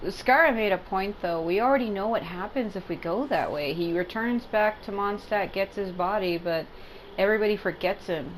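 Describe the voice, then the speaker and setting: A woman's voice: mid-range voice, normal speed, slight background noise, rough recording. A woman giving casual, reactive gameplay commentary, thinking aloud hesitantly and swearing mildly. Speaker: gameplay streamer.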